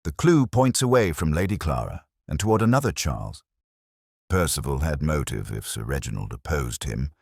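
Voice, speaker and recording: A man's voice: rumbling low, very animated, moderate pace, vocal fry, a little mellow, breathy edge, no echo, studio quality, heavily acted. A man voicing a keen, commanding Victorian detective in an audiobook, precise and authoritative. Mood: keen, deliberating.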